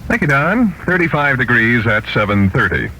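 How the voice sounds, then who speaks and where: A man's voice: medium pitch, sing-song, normal speed, bright, forceful tone. A man reading scripted news as a newscaster on 1970s radio. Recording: faintly noisy 1970s radio recording.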